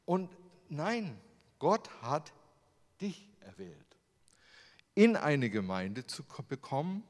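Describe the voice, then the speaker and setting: A man's voice: a little high, big pitch swings, takes it slowly, darkish tone. A German man giving a sermon with slides, in a conversational way, full of rhetorical questions. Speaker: preacher. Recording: long hall reverb.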